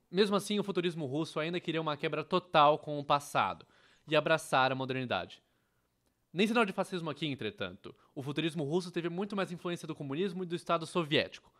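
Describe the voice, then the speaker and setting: A man with a Brazilian accent reading a scripted educational narration, with a wry, ironic manner. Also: on the high side. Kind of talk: scripted explainer narration, dubbed.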